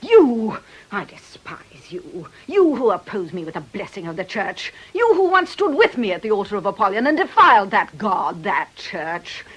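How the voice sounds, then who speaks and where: A woman's voice: mid-range voice, big pitch swings, normal speed, breathy edge, low-quality recording. An actress playing a bitter, imperious woman who leads an occult coven, in a theatrical radio drama style. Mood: bitter fury. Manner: imperious, scornful, theatrical delivery. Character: bitter, menacing woman occult coven leader and fortune-teller.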